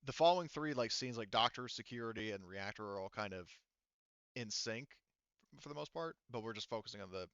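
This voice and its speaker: A man running a tabletop game as game master, narrating casually in an informal, halting manner. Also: medium pitch, animated, fairly bright tone, clean tone, no room sound. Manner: informal, halting, sometimes profane. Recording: dull, muffled lo-fi sound.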